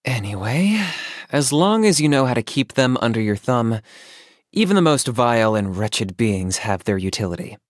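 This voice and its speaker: An actor voicing a stoic, businesslike young male saurian hunter in a video game, speaking in a measured, matter-of-fact deadpan. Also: mid-range voice, no echo, studio quality, lightly acted. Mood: calm, dry. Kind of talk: scripted video game character monologue.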